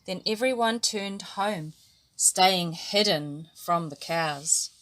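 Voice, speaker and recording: A woman from New Zealand reading a children's story aloud with animated, lively storytelling. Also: on the low side, slow, slight background noise. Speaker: storybook reader. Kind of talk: expressive children's story narration.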